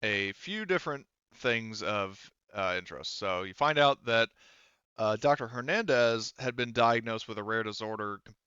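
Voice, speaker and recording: A man running a tabletop roleplaying game as game master, explaining the scene in halting, unscripted narration. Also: on the low side, animated, bright, forceful tone, clear, resonant tone, no room sound, highs cut off.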